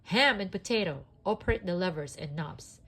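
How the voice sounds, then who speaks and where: A woman's voice: lowish voice, expressive, normal speed, fairly bright tone, low-quality recording. A woman voicing a confident male space-hero toy from a storybook in a commanding, emphatic way.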